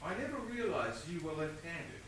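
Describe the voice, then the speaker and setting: An actor playing a stern, self-assured police inspector in a stage whodunit, speaking in a deliberate, declamatory way. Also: male voice, fairly high pitch, sing-song, a bit bright. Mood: measured, knowing. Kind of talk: amateur one-act stage play acting. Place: indoor theatre stage before an audience. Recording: echoey theatre stage from far, faint noise.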